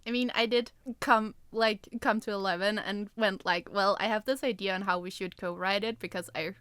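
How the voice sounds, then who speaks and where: A woman's voice: mid-range voice, steady tone, moderate pace, a bit bright, clean tone, dry recording. A Danish woman co-hosting a podcast in casual chat, giggly and self-deprecating, speaking with a Danish accent. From Denmark. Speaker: podcast co-host and co-writer.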